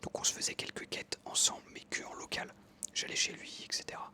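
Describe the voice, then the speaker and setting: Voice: fairly quick, bright, forceful tone. A young adult whispering breathily in a casual ASMR style, chatting informally and enthusiastically while narrating gameplay up close. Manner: informal, enthusiastic gamer talk.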